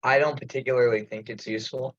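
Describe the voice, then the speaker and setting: A man's voice: mid-range voice, fairly even intonation, quick pace, no room sound. Frank man, dull dry call audio. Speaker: committee member. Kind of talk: informal meeting discussion. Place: remote conference call.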